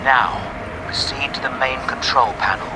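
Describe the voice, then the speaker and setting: A man's voice: medium pitch, moderate pace, very noisy, natural voice. An actor playing a commanding, impatient male Inquisitor in a video game, giving imperious instructions. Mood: urgent, commanding. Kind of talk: dramatic video game acting.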